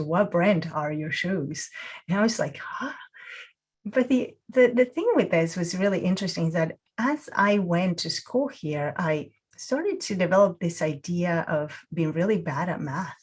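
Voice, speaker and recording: A woman's voice: lowish voice, darkish tone. Reflective woman teaching mindfulness. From Peru. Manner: reflective, many fillers and restarts. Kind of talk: guided mindfulness talk.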